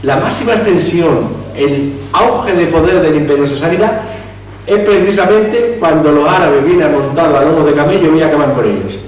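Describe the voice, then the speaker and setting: A man's voice: on the high side, moderate pace. A man gives a public history lecture. He talks discursively, restarts words and asks rhetorical questions. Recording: echoey hall, distant mic, faint hum.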